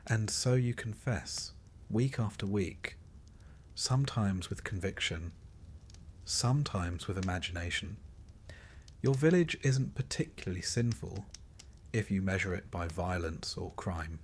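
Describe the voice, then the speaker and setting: A man reading a scripted history sleep story as narrator, in a measured way with dry, wry understatement. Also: lowish voice, darkish tone, a little hiss or hum.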